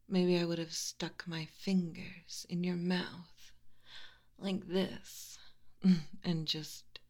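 A young woman voicing a teasing, commanding female spirit with a tail who keeps a library, speaking in a soft whisper with low laughs. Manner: mocking, playful, commanding. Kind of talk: whispered audio roleplay.

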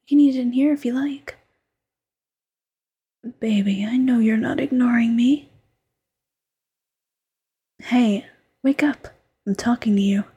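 A woman voicing a doting, teasing fox girlfriend who mothers her partner, in soft coaxing whispered roleplay. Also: fairly high pitch, unhurried, pauses often, husky. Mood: insistent.

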